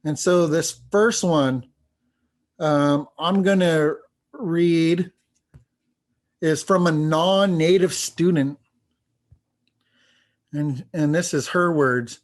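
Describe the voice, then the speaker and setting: Man, slightly high voice, language teacher, panel presentation, earnest, informal, halting delivery. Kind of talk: presentation with testimonials read aloud.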